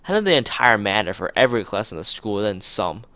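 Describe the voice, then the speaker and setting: A man's voice: fairly low pitch, sing-song, brisk, sharp tone, no room sound. A man voicing a bossy, accusing schoolgirl from a visual novel in an emphatic, scolding manner. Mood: boastful. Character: bossy, accusing schoolgirl speaking for student council.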